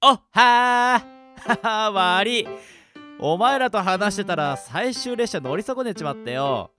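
A man playing a carefree, rough-spoken young traveller in an amateur radio drama, talking in a casual, blunt way. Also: high voice, lively intonation, slow, bright, forceful tone, faint music behind, heavily acted. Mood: cheerful.

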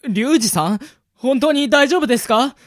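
A man's voice: extremely high pitch, animated, normal speed, dry recording, studio quality. A man acting a polite, easygoing young florist's son in a radio drama. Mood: concerned. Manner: polite, easygoing, soft-mannered speech. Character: polite, cheerful young son minding a flower shop.

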